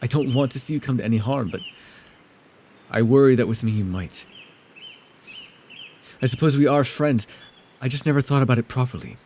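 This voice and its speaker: A man playing a reclusive, centuries-old elf in a fantasy audio roleplay, guarded and awkward, with an earnest, halting delivery. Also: medium pitch, darkish tone, slight background noise, close to an everyday voice. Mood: tender, regretful.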